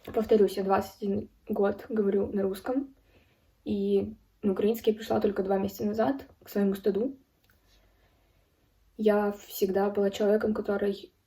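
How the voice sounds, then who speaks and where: A young woman in her early twenties from Ukraine speaks with a very mellow, dark tone at a middling pitch. She tells a personal account solemnly, in careful phrases broken by pauses. The microphone sounds some distance away. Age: early 20s. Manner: serious, solemn. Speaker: video blogger. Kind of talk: personal account spoken to camera.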